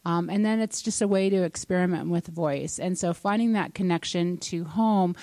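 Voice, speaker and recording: Woman educator, panel talk, conversational, lowish voice, dry close sound. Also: normal speed, mellow, no room sound. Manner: conversational, many fillers and restarts. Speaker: university educator and editor.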